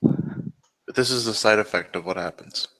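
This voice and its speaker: A man's voice: fairly low pitch, moderate pace, fairly bright tone, poor audio. A man improvising present-tense narration as a player in a roleplaying game.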